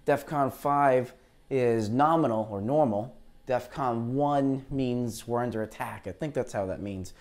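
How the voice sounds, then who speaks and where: A man with a tired, low voice after heavy talking, hosting a live weather stream in a chatty, rambling way, talking into a lavalier mic. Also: unhurried, a little mellow. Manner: chatty, rambling, unsure asides. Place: green screen studio, indoors. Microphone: lavalier clip mic.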